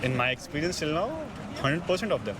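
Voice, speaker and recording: A man from India answering questions in a street interview, in a measured, plain way. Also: on the high side, animated, background noise.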